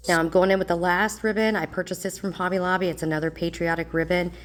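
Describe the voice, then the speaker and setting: Low-voiced woman with a stuffy voice. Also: fast, slight background noise. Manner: calm, plain, practical explaining. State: slightly congested voice. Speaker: craft tutorial host. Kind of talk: step-by-step craft instruction.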